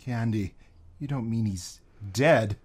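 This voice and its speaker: A man's voice: mid-range voice, slow, a little mellow, husky. An actor playing a genteel, fussy male sidekick with an arch, theatrical, old-fashioned way of talking. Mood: fretful. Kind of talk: live 1950s radio drama acting.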